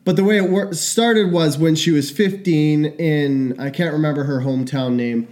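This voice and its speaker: A young man co-hosting a podcast in casual chat, animated and quick to laugh. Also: a little high. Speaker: podcast co-host.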